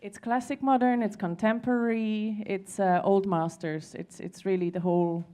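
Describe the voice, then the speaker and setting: A woman's voice: medium pitch, steady tone, normal speed, mellow, smooth. A woman from Switzerland speaking as a panelist in a conversational discussion, often hesitating and repeating words as she searches for them. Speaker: panelist at a conference.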